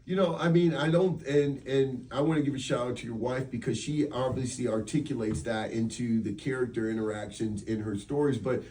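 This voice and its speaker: A man's voice: mid-range voice, steady tone, fairly quick, few pauses, dark tone, distant mic. A man hosting a radio interview in a casual, chummy, rambling conversational way. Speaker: radio show host.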